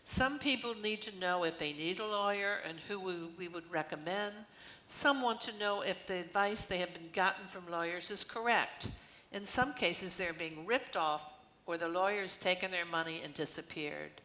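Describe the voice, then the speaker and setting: A woman's voice: on the low side, moderate pace, bright, forceful tone, poor audio. An older woman reading the part of an earnest, explanatory legal clinic volunteer in an amateur play. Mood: earnest explaining.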